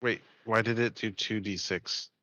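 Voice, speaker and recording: A man's voice: lowish voice, steady tone, moderate pace, pushed and bright, no room sound, highs cut off. A man chatting casually as a player in a tabletop role-playing game.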